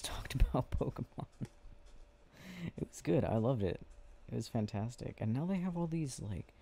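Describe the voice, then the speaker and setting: A man speaking softly and drowsily in an ASMR boyfriend roleplay, murmuring with unhurried pauses. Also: on the low side, creaky, a little hiss or hum. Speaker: ASMR roleplay audio creator. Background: game clicking sounds.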